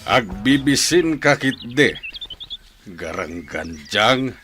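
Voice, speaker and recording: A man's voice: fairly low pitch, big pitch swings, slow pace, music in the background. A man with an Ilocano Filipino accent playing a stern, hot-tempered father in a radio drama. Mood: angry, scolding. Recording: clear background noise with chirping.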